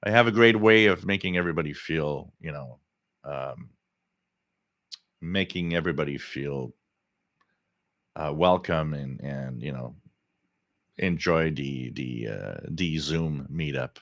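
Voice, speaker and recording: Low-voiced French Canadian man, opinionated. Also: a little slow, pauses often, fairly bright tone, dry recording, studio quality. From Quebec, Canada. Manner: opinionated, rambling with many restarts and fillers. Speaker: radio stream host. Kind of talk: live stream chat reading viewer comments.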